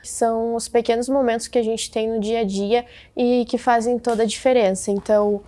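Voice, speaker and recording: A nineteen-year-old woman with a slightly high voice answers interview questions. Her delivery is plain and matter-of-fact. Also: level tone, moderate pace, warm tone.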